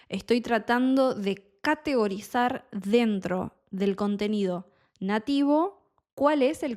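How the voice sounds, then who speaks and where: A young woman with a Buenos Aires Spanish accent, a Spanish tutor talking in a slow, clear teaching monologue and explaining words as she goes. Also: medium pitch, darkish tone. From Argentina.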